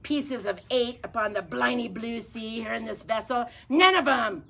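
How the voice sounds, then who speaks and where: Shrill squawking pirate parrot. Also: female voice, moderate pace, gravelly, sharp tone. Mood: excited squawking. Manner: repeats short exclamations. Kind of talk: children's storybook read-aloud.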